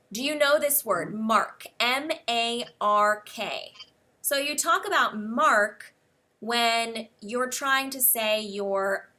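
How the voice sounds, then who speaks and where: An American woman teaching English one-on-one, explaining words slowly and spelling them out letter by letter. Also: fairly high pitch, bright, forceful tone.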